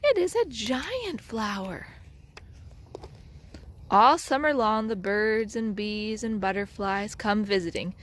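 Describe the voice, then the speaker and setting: A woman reading a children's picture book aloud as a storyteller, in an expressive, deliberate way with dramatic emphasis. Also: medium pitch. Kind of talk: children's read-aloud narration.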